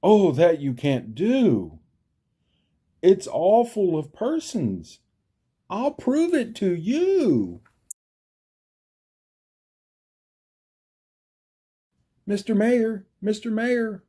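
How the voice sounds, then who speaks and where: A man's voice: high voice, big pitch swings, slow, mellow, breathy edge, pitched above the performer's own voice, exaggerated voice. A man voicing an earnest, anxious, devoted elephant in a storybook, exclaiming and pleading. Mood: alarmed pleading.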